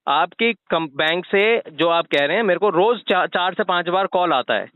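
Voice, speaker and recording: A man's voice: sits high, expressive, moderate pace, no echo. A man, arguing insistently and indignantly as a customer on a recorded phone call, lecturing the person at the other end. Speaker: credit card customer disputing recovery calls. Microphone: telephone line.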